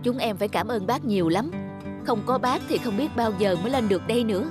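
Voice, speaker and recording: A man's voice: high voice, fast, over music, close to an everyday voice. A man voicing a mild young husband and father in a cartoon, polite, soothing and deferential. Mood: patient. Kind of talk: cartoon voice acting.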